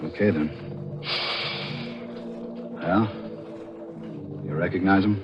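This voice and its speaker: An actor playing a blunt, streetwise police detective with a folksy, slangy manner, in 1940s radio thriller acting. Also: male voice, low-pitched, takes it slowly, over loud music. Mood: businesslike. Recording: muffled, slightly noisy old radio recording.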